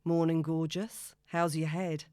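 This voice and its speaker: A woman voicing a smooth, breezy, noncommittal man on a date, with a casual, glib manner, in an audiobook. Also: deep voice, little pitch movement, a little slow, dark tone, no room sound, studio quality. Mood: smooth, flirtatious. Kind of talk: audiobook character dialogue.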